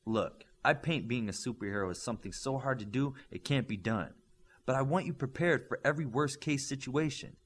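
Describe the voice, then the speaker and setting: A man voicing a seasoned, grave superhero coach in an audiobook, lecturing deliberately and with emphasis. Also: medium pitch, a little hiss or hum, natural voice. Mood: grave, resolute. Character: Connors, seasoned veteran superhero turned coach, earnest and grave.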